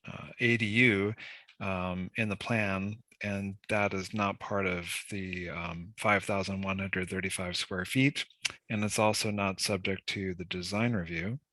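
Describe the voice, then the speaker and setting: A man, a senior city planner, presenting a staff report at a public meeting, congested from a cold and talking in a measured way with frequent ums. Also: sits low, steady tone, pushed and bright, no echo.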